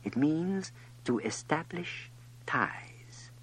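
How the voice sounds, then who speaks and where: A man's voice: on the high side, very animated, moderate pace, vocal fry, fairly bright tone, slight background noise, voice pushed up, full character voice, rough recording. A man voicing an earnest, wise wild fox in a storybook reading, explaining things patiently.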